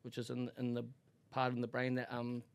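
Gravelly New Zealand man telling stories. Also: medium pitch, monotone, a little fast. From Auckland, New Zealand. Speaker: podcast guest. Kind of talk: casual, candid personal storytelling.

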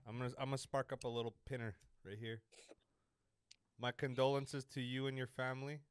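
A young man hosts casual banter, teasing a stranger with crude jokes. His voice sits in a middle pitch and the sound is dry and close, with no room around it. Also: level tone, fairly quick, clean tone, dry recording. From Canada. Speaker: host of a stream chatting with strangers.

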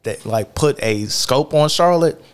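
A man's voice: medium pitch, expressive, a little slow, smooth. A man hosting a casual interview, easygoing and affirming, with many fillers. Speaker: interview host.

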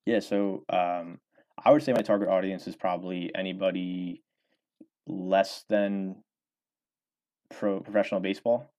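A man's voice: low voice, steady tone, unhurried, pauses often, darkish tone. A young man in his twenties answering interview questions casually, rambling with many fillers and restarts. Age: 20s. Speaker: author and former college baseball player.

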